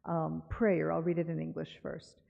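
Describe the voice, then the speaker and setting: A woman from Cuba giving an unhurried, conversational author talk in a synagogue chapel, reading a poem aloud and often pausing with fillers. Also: deep voice, completely flat delivery, vocal fry. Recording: dull live broadcast with long reverb.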